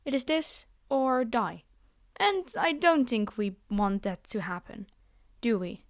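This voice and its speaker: A woman's voice: a little high, slow, no room sound, studio quality. A woman playing a haughty, possessive vampire noblewoman in an ASMR fantasy roleplay, speaking in a theatrical, condescending and formal way. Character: haughty, possessive vampire noblewoman, polite yet menacing captor.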